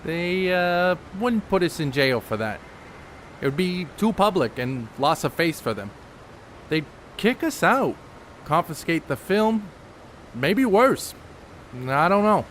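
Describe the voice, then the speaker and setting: A man's voice: a little high, a little hiss or hum. A man playing an educated, secretive ballplayer in a 1930s stage play, speaking in a precise, dry, condescending way. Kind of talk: amateur stage play acting, 1930s period dialogue.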